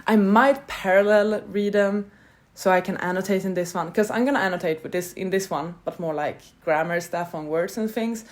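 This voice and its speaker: Woman, book vlogger, lower voice, casual, rambling, unstructured chat. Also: a little mellow. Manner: chatty and rambling, loosely structured.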